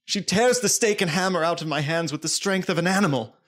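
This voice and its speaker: An earnest, resolute vampire hunter. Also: male voice, high voice, a little fast, fairly bright tone. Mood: grave, dramatic. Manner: earnest, dramatic. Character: resolute young male adventurer hunting a vampire. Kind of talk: audio drama acting, first-person journal narration.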